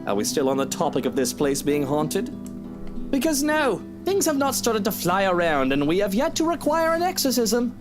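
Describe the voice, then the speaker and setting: An actor playing a haughty, mocking wealthy mansion owner in a visual novel game, with a theatrical, condescending manner. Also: male voice, sits high, wildly swooping pitch, moderate pace. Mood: dryly sarcastic. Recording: game music underneath.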